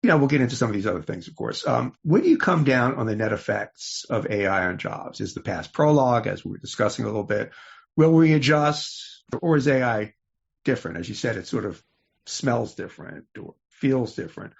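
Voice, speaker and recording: A man's voice: medium pitch, expressive, brisk, gravelly, husky. A man hosting a conversational podcast interview. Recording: slightly muffled, dull audio.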